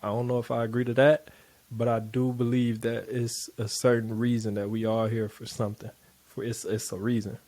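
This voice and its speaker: A man giving casual, blunt reaction commentary on a clip he keeps pausing. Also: medium pitch, level tone, a little mellow. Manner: loose, blunt, profane street talk. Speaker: reaction video host.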